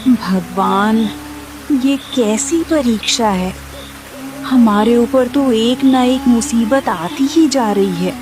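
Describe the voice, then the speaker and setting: A woman playing a poor farmer's wife in a cartoon, lamenting in plaintive distress. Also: sits high. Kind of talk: Hindi moral-story cartoon dialogue. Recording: music behind the voice.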